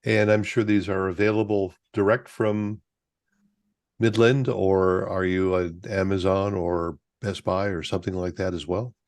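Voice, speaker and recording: Low-voiced man moderating, close dry sound. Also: flat delivery, measured pace, darkish tone, no room sound. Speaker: meeting moderator. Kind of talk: casual hosting.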